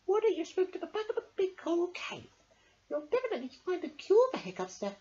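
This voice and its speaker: An excitable, friendly fish from a children's story. The voice is tiny and very high, exclaiming in a swooping sing-song, eager to help. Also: female voice, fast, highs cut off. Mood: encouraging. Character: excitable friendly angel fish, squeaky and helpful.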